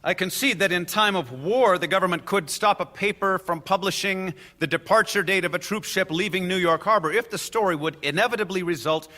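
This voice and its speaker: A man's voice: sits high, brisk, few pauses, pushed and bright. A man playing a confident, wry defense lawyer in a courtroom radio drama, formal and articulate. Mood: earnest, conceding.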